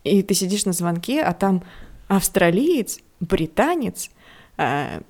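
Laughing, lively woman studio head. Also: lowish voice, takes it slowly. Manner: lively, laughs often, talks in loose restarts. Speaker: head of a visual effects studio. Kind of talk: casual interview.

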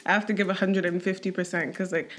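A woman's voice: lowish voice, flat delivery, fairly bright tone. Chatty young woman, music artist. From Nigerian background, raised abroad. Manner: chatty, many fillers, quick to laugh. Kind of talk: casual interview talk.

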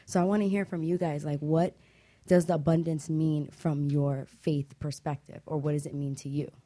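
A woman's voice: low voice, fairly even intonation, moderate pace, mellow. A woman hosting a casual panel discussion, talking in a conversational, reflective way. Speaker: talk show host.

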